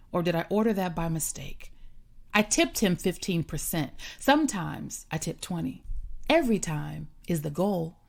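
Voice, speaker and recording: An American woman reciting her poem in a measured, deliberate way, letting line endings drop away. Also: lowish voice, gravelly. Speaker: poet. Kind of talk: poetry reading.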